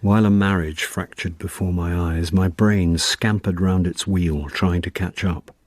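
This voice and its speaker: A wry British amateur detective in a radio drama, low and husky. He speaks drily and can stammer, recorded close in a dry space. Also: fairly even intonation, measured pace, a little mellow, no echo. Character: wry, hesitant amateur sleuth narrating his own story. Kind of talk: radio drama dialogue and narration.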